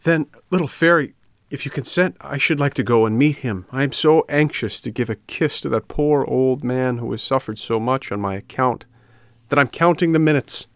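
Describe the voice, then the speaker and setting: A man voicing an eager, excitable wooden puppet boy in a storybook reading. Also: medium pitch, moderate pace, no echo, studio quality, lightly acted. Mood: excited, eager.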